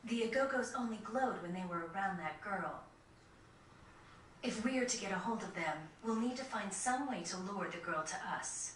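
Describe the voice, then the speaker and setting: Villain's loyal agent, played by a woman: composed, businesslike, middle pitch, distant game sound. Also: lively intonation, normal speed, a bit bright, close to an everyday voice. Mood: composed, dutiful. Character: cool, obedient female villain's henchwoman. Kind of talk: video game cutscene voice acting. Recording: roomy, distant game capture, faint noise.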